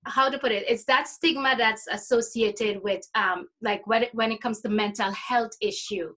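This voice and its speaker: A woman in her forties with a Trinidadian accent, answering interview questions casually, often pausing to find her words. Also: fairly high pitch, fairly even intonation, pushed and bright. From Trinidad and Tobago. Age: 40s. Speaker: interview guest, life coach.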